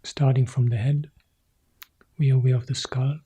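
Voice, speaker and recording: A man leading a guided meditation as a teacher, speaking calmly in short, sparse phrases with long pauses, in a soft, husky, breathy voice. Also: mid-range voice. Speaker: meditation teacher guiding a practice.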